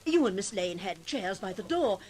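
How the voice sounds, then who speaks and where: An actress playing a shocked, indignant society hostess, protesting and pleading in 1940s radio drama. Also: female voice, mid-range voice, sing-song, moderate pace, a crowd in the background, lightly acted.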